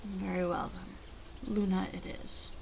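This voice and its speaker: A woman playing a protective, commanding female werewolf in an ASMR roleplay, talking slowly and deliberately to the listener in a soft, guarded way. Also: fairly low pitch, sing-song, creaky, background noise, full character voice. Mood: calm, conceding.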